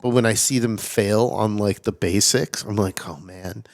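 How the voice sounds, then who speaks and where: Low-voiced man hosting a podcast. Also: normal speed, creaky, dry recording, clean studio sound. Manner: relaxed, filler-heavy, admiring. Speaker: podcast host. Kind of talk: casual interview.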